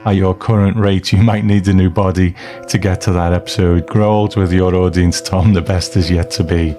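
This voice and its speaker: A man's voice: sits low, fairly even intonation, normal speed, music in the background. A man hosting a podcast, talking casually and reflectively in a rambling, self-deprecating way. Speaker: podcast host. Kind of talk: casual reflective podcast talk, reading listener mail.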